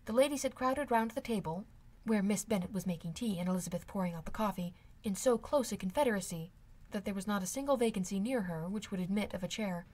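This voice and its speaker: A woman's voice: mid-range voice, fast talker, darkish tone. A woman reading a classic novel aloud as scripted audiobook narration. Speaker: amateur audiobook reader.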